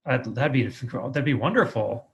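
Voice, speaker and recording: A man's voice: mid-range voice, animated, measured pace, gravelly, lo-fi sound. A man hosting a podcast, chatting and asking questions in a casual interview style. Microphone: online video call recording.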